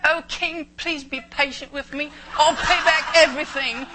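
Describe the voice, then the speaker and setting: A man's voice: very high-pitched, steady tone, normal speed, background noise. A man acting out comic parable figures, an angry king and begging debtors, in a hammy sermon skit. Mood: pleading. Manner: hammy, exaggerated shouting and pleading.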